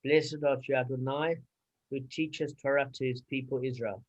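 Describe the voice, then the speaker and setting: A man leading a study group, reciting blessings and reading a translation slowly and deliberately. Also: medium pitch, steady tone, lo-fi sound.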